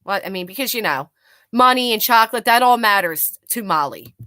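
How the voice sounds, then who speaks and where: Sarcastic lady doing live commentary, sweary and mocking, forceful bright voice, dry close sound. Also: a little high, lively intonation, a little fast, dry recording. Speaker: live stream host. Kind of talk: live commentary reacting to clips and reading chat.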